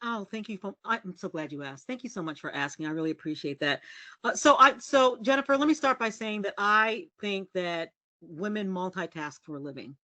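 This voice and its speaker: A middle-aged woman journalist from the US, telling candid stories as a podcast guest in a low, resonant voice. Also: a little fast, no echo. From United States. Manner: lively, candid and self-deprecating, laughs often. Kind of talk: casual storytelling interview.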